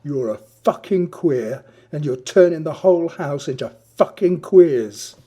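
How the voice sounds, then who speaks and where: Guy doing an angry squat school prefect, snarly and blunt, dark tone, story reading. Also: fairly high pitch, lively intonation, measured pace. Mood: disgusted, snarling.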